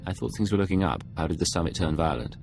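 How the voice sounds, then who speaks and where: An actor playing a cool, commanding Imperial secret agent in a video game, speaking in a measured, authoritative way. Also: male voice, sits low, darkish tone, soft background music. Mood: composed, serious.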